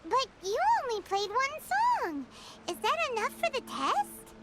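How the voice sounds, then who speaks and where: A tiny, cheerful fairy sidekick from a video game, squeaky and extremely high. The childlike voice bounces around as it chatters, with prominent music underneath. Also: female voice, wildly swooping pitch, measured pace, heavily acted. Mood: curious, amazed. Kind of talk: animated video game voice acting.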